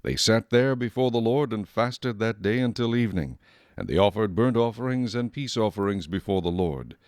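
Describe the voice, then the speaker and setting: A man giving solemn, measured scripted narration for a dramatized Bible recording. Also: fairly low pitch, animated, a little mellow, dry recording, clean studio sound.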